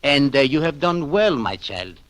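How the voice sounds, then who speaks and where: A man's voice: mid-range voice, sing-song, measured pace, fairly bright tone. An actor playing an effusive, fussy elderly prince and prime minister, fatherly but insistent, in an old-time radio drama. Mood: effusive, delighted. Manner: courtly, fussy, exclaiming.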